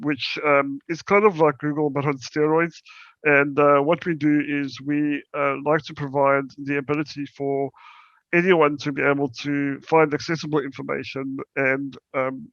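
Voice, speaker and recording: Halting South African man, gravelly voice. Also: fairly high pitch, flat delivery, fairly bright tone, dry recording, dull audio. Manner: halting, many fillers and restarts. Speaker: startup presenter. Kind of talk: conference presentation.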